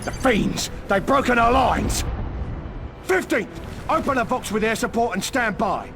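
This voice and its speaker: An actress playing a defiant army commander in a video game, barking urgent military orders. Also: female voice, a little high, animated, unhurried, creaky, music in the background. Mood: urgent, furious. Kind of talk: scripted video game acting.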